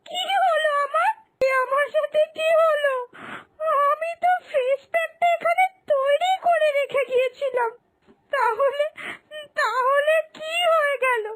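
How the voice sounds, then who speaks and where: A woman voicing a feisty, scheming younger daughter-in-law in a children's cartoon, taunting and expressive. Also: extremely high pitch, unhurried, dry recording. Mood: wailing, distressed. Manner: taunting, sharp-tongued, expressive. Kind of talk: Bengali moral-story cartoon dubbing.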